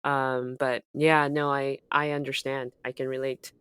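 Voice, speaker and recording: A woman's voice: rumbling low, flat delivery, measured pace, fairly bright tone, clear, resonant tone, no room sound, studio quality. A woman co-hosting a podcast in casual conversation, speaking in an affirming, reflective way. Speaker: podcast co-host.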